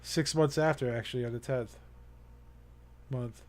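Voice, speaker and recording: A man's voice: mid-range voice, fairly even intonation, measured pace, a little mellow. A young man chatting casually to his live-stream viewers, rambling and earnest, with many fillers and restarts. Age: young adult.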